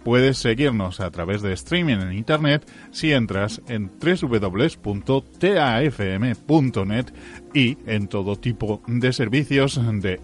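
A man with a Spanish accent from Spain hosting radio, making casual, ad-libbed announcements with chatty, joking asides. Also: on the low side. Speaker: radio host.

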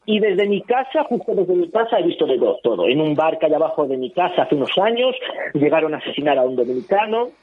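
A man's voice: on the high side, very animated, fast talker, breathy edge. A man in his thirties from Spain, co-hosting a talk radio discussion and making his points with personal examples. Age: 30s. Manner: earnest, illustrates with personal examples. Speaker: co-host. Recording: tinny phone line.

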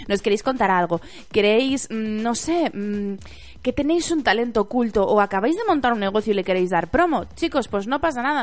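A woman from Spain hosting a casual radio chat show, hoarse from a sore throat and playful, often laughing. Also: fairly high pitch. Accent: Spanish from Spain. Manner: playful, giggly, chatty. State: hoarse from pharyngitis. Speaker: podcast host.

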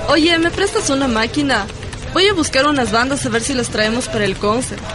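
An actress with an Ecuadorian accent playing a cool, bossy young rock fan in a radio drama, brisk and slangy. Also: female voice, high-pitched, music in the background, natural voice. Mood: breezy, curious. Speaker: actor in a radio serial. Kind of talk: naturalistic radio drama dialogue.

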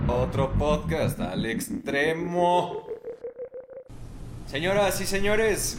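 Theatrical man announcing, slow and echoey. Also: on the high side. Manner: theatrical, drawn-out. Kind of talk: mock show announcer. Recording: echoey, noisy.

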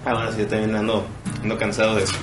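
A man's voice: fairly low pitch, monotone, rapid-fire. A man in his twenties with a Mexican accent, chatting casually and jokingly in slangy talk as a podcast co-host. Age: 20s. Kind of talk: casual banter chat. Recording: roomy echo, music behind.

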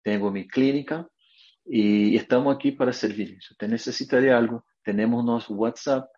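A naturopath from Brazil, speaking Spanish with a Brazilian Portuguese accent as the guest in a video interview, earnest and motivational in short emphatic phrases. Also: male voice, mid-range voice, normal speed, darkish tone, lo-fi sound.

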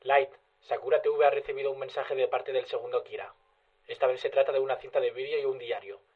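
Guy playing a concerned cop, fast talker, medium voice, radio drama. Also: mid-range voice, fairly even intonation, slightly rough, phone-quality sound, close to an everyday voice. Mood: businesslike. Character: earnest, concerned male police investigator.

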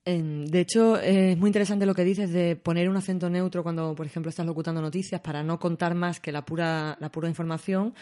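A woman's voice: on the low side, steady tone, a little fast, hardly any pauses, warm tone. Andalusian-accented woman announcer, candid and easygoing in chat. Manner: candid, laughs easily, hesitant fillers. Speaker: news announcer. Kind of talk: casual discussion.

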